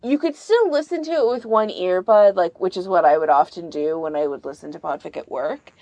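A woman with a slightly low voice chats as a podcast co-host. She offers recommendations in a casual, conversational style, and the sound is dry, without echo. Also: brisk, dark tone, dull audio. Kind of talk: casual chat, giving recommendations.

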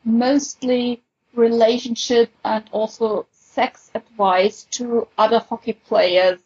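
A woman's voice: fairly high pitch, level tone, takes it slowly, darkish tone, slightly rough. A woman chatting casually on a podcast panel, enthusiastically recommending a favourite, on slightly dull audio.

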